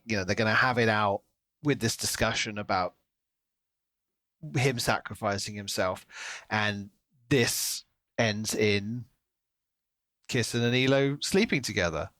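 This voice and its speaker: A man's voice: mid-range voice, fairly bright tone, dry recording. A man co-hosting a podcast, talking casually through a book and acting out reactions as he retells it. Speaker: podcast co-host.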